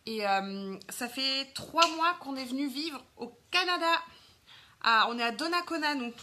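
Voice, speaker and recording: A woman's voice: high-pitched, animated, unhurried, background noise. A woman from France in her thirties with a French accent, chatting casually on a makeup live stream and greeting viewers. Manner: chatty, lots of fillers, greets viewers while doing makeup.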